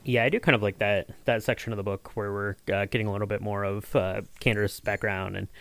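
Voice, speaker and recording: A man's voice: lowish voice, flat delivery, fast talker, gravelly, fairly bright tone. A man hosting a podcast, recapping a story in a casual, agreeable and somewhat hesitant way. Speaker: podcast host.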